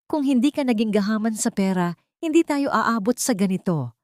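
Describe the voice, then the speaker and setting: Enraged, bitter groom for audio melodrama, read by a woman, accusatory, pitched up in anger. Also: expressive, normal speed, no echo, studio quality, natural voice. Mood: furious, blaming. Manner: shouting accusations. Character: furious disgraced groom, a man blaming his bride.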